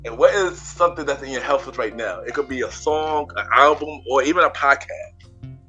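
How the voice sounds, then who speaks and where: A man hosts a casual podcast interview, his bright, forward voice tripping over repeated words and restarts. He keeps a mid-range pitch. Faint music sits in the background. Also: moderate pace, lo-fi sound. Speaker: podcast host.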